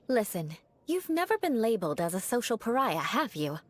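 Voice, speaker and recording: Proud young noblewoman knight: highish, expressive, haughty and teasing game dialogue, faint noise. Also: unhurried. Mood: bitter, serious.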